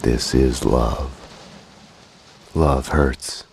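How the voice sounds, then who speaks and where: An actor playing a brooding, world-weary noir man who narrates his own downfall in a terse, fatalistic deadpan in a video game. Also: extremely low pitch, very slow, gravelly, noisy background, exaggerated voice. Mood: bleak. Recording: loud music over the voice.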